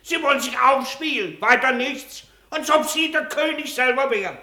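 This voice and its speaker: An actor in a German radio play, playing a cantankerous, indignant old villager who talks in a loud, querulous, stubborn way. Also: male voice, very high-pitched, normal speed, reverberant, full character voice. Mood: scornful. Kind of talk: classic German radio drama acting.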